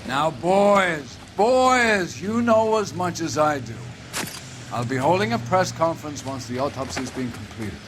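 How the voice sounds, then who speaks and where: An actor playing a pompous, righteous police captain who speaks in grand, preacher-like oratory in a period crime game. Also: male voice, fairly high pitch, expressive, measured pace, background noise. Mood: blustering, righteous. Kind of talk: dramatic 1940s period game acting.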